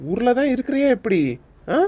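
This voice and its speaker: A man's voice: very high voice, fairly quick, dry recording. A man voicing a friendly, easily amazed office-worker husband in a cartoon comedy, with a Kanyakumari Tamil accent. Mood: chatty. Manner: friendly, excitable.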